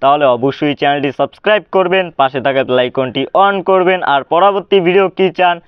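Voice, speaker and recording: High-pitched, smooth man. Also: quick pace, no room sound. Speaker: tutorial host. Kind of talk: scripted video intro and sign-off. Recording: dull, lo-fi, dry voice track.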